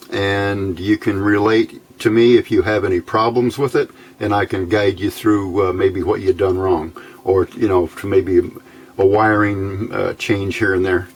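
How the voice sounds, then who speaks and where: A man with a fairly low voice walks through a hands-on hobby build. His delivery is informal and plainspoken. He thinks aloud in a folksy way, like an electronics tinkerer showing his work.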